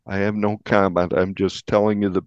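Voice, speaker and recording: A man speaking as a journalist guest, explaining deliberately in an interview and restating points for emphasis. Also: on the low side, little pitch movement, clear, resonant tone, dry recording, muffled recording.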